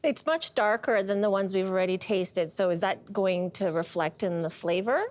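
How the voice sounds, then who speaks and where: A woman's voice: medium pitch, moderate pace, a little mellow. A woman co-hosting a casual tasting chat, asking curious questions. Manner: chatty, curious. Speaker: co-host.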